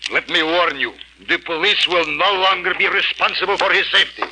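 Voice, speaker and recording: Bossy police captain, high bright voice, old radio drama. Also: male voice, a little fast, slight background noise, low-quality recording. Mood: stern. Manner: commanding, threatening. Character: stern Russian police captain, arrogant official. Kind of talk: 1940s radio acting.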